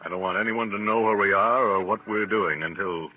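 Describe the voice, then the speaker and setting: Obsessed doctor, low and muffled, 1940s radio. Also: male voice, a little fast, breathy edge, no echo. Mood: calm, controlling. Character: intense, obsessed male research doctor, smoothly manipulative. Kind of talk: 1940s radio drama acting. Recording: muffled, band-limited 1940s radio recording.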